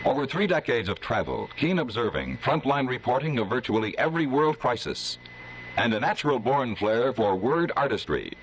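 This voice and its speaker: A man's voice: mid-range voice, very animated, moderate pace. A man reading a scripted radio promo as an announcer, with a polished, emphatic delivery. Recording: faintly hissy old radio recording.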